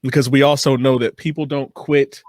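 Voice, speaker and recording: A man's voice: medium pitch, little pitch movement, normal speed, a little mellow, no echo, studio quality. A young adult man hosting a casual interview, affirming and reflective, feeling his way into questions. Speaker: podcast host, interviewer.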